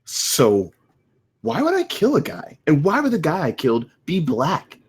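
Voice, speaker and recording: A man playing a flippant, casually clueless twenty-eight-year-old in an animated comedy table read. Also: on the high side, unhurried, slightly rough, exaggerated voice. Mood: defensive.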